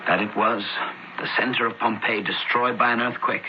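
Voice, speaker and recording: Radio drama: actor as idealistic young brother, middle register, gently persuasive and formal, slightly muffled old broadcast. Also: male voice, mid-range voice, steady tone, normal speed, lightly acted. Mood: concerned. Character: earnest, idealistic young brother, a religious student. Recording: dull old radio broadcast, faint noise.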